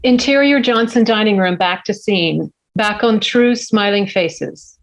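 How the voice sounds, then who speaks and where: A woman reading screenplay scene directions aloud at a table read, plain and measured. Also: medium pitch, rough recording. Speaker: stage-direction reader.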